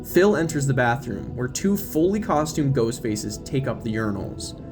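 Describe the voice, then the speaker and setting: A man narrates a horror audio drama from a script. His delivery is dramatic storytelling, with music clearly playing underneath. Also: medium pitch, very animated, moderate pace.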